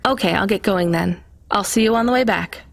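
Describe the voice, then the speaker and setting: An actress playing a sceptical, independent young delivery driver in a video game, matter-of-fact and guarded. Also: female voice, medium pitch, brisk, creaky. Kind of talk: naturalistic video game dialogue.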